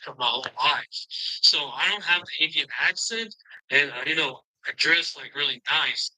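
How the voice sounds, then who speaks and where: A young man giving a casual testimonial as a coaching student, speaking haltingly with many "you know"s. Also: a little high, animated, sharp tone, rough recording.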